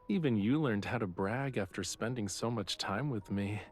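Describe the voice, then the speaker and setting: An actor playing a self-assured yet quietly vulnerable young artist in a romance game, speaking softly and intimately with a teasing touch. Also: male voice, fairly low pitch, slow, faint music behind. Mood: playful, boastful.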